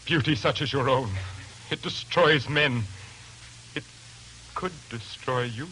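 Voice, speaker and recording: An actor playing a brooding, fanatical lodger in 1940s radio suspense style, formal and intense. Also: male voice, mid-range voice, slow, sharp tone. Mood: menacing, fervent. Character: brooding, fanatical male lodger obsessed with evil beauty. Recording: noisy old 1940s radio recording.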